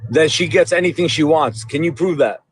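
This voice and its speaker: A man's voice: a little high, a little fast, slightly rough, no room sound. A man on a livestream debate panel, interrupting with probing questions.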